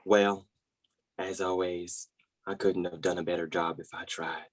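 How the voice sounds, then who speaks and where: An actor playing an effusive, rambling, over-eager team manager, who stumbles and repeats himself, in a comedy web series. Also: male voice, low-pitched, little pitch movement, unhurried, no room sound, clean studio sound. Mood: gushing.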